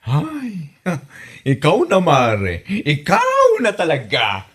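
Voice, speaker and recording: A man playing a teasing, gossipy friend in a comedy audio drama, with an Ilocano accent and a drawn-out, theatrical manner. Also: a little high, wildly swooping pitch, a bit bright, husky, heavily acted. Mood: teasing, amused.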